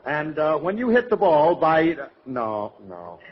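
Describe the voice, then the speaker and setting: A man's voice: fairly high pitch, wildly swooping pitch, takes it slowly, heavily acted. An actor playing a hapless, fretful, wisecracking man in a golden-age radio comedy. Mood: flustered. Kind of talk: 1940s-50s radio comedy acting. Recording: dull vintage radio, audience, faint noise.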